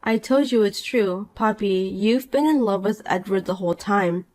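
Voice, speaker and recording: A woman voicing a calm, cool married young woman in a dramatized story, dry and patient. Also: mid-range voice, normal speed, close to an everyday voice.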